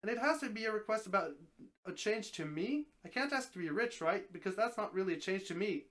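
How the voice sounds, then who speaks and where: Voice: medium pitch, animated, brisk, a bit bright, close to an everyday voice. A reader acts out an everyday man from a story, wary yet hopeful. He talks casually in a middle-range voice, with hesitations, questions and a mildly grumbling temper. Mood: pondering.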